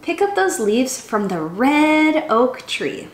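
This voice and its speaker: A young woman reads to small children in a high voice that swoops widely up and down. She is expressive and sing-song, talking to them directly as a storytime teacher. The room adds a noticeable echo around her voice. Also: takes it slowly, slight background noise. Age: young adult. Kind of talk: expressive picture-book read-aloud for young children. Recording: roomy indoor echo.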